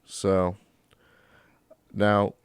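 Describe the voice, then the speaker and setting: A man's voice: lowish voice, monotone, very slow, smooth, no echo. A young man co-hosting a podcast, stuffed up from a cold, talking loosely and swearing a lot while rambling. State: congested from a cold. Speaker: podcast co-host. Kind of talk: casual chat.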